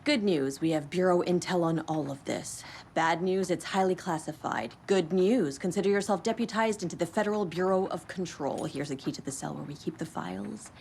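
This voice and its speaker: Dry, no-nonsense female bureau agent for a game cutscene, slightly low voice over faint ambience. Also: normal speed, gravelly, few pauses, darkish tone. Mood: wry. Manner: wry, blunt, matter-of-fact. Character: brisk, wry female government bureau agent in authority. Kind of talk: video game cutscene acting. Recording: light ambient background noise.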